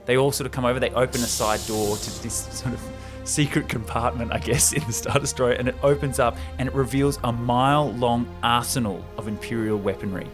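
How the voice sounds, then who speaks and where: A man's voice: mid-range voice, steady tone, fairly quick, hardly any pauses, over music. A man co-hosting a podcast, recapping a story in an informal, enthusiastic, easily amused way. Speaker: podcast co-host and narrator. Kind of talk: casual recap of a film script with banter.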